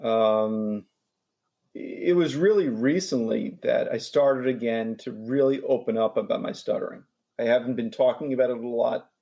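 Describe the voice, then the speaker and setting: A man's voice: medium pitch, moderate pace, creaky, warm tone, highs cut off. A man in his forties from New York who stutters, with repeats and restarts, talking as a guest in a casual interview. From New York, USA. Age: 40s.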